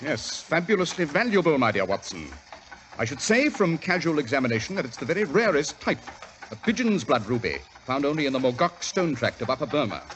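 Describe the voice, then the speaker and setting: An actor playing a cool, superior English gentleman detective with a British accent and crisp, precise manner in a 1940s radio drama. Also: a little high, big pitch swings, brisk, rough recording. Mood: cool, amused. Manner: crisp, precise, lecturing, unruffled. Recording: old radio recording with faint hiss.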